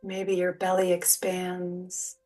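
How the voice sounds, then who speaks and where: A woman leading a guided relaxation as a yoga teacher, speaking in a soothing, gentle, unhurried manner. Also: lowish voice, monotone. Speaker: yoga nidra meditation guide. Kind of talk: guided meditation, soft spoken instruction. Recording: distant mic, music behind. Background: soft music or sound bowls.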